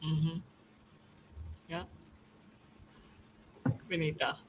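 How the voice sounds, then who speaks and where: A woman's voice: low-pitched, flat delivery, very slow, a little hiss or hum, poor audio. A woman hosting a panel discussion, putting questions to a group and responding with short approving words.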